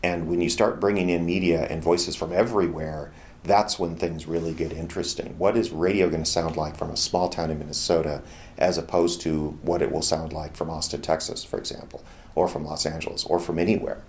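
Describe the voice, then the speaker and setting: Middle aged american guy, low voice, casual explaining, bit of background noise. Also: flat delivery, a little fast. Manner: thoughtful, conversational explaining. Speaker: radio theater founder and organizer. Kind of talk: casual promotional interview.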